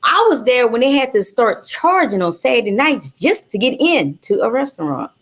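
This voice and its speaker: A woman from Louisiana with a Creole accent, telling her life story as an interview guest in an animated, salty way full of slang and swearing. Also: a little high, normal speed.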